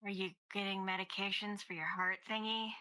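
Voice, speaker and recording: A woman's voice: mid-range voice, flat delivery, a little fast, smooth, lightly acted, rough recording. A woman voicing a quirky, detached schoolgirl artist in a visual novel, talking in a blunt, rambling way. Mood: blunt curiosity. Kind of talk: visual novel character read live. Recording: loud guitar music over voice. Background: loud guitar game music.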